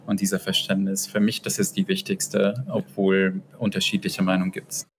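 Interview guest: low-voiced man, halting German, noisy backdrop. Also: level tone. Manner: halting, searching for German words. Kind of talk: personal conversation.

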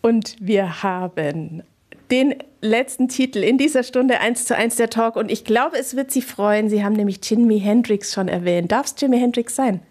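Higher-voiced woman radio host. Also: moderate pace, darkish tone. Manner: guiding, asks leading questions. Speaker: radio talk show host. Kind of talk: radio interview.

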